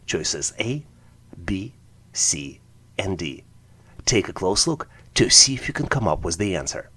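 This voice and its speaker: A man's voice: low voice, sing-song, measured pace, sharp tone, breathy edge. A man narrating an explanatory tutorial as an instructor, walking through things methodically, step by step. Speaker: test-prep instructor.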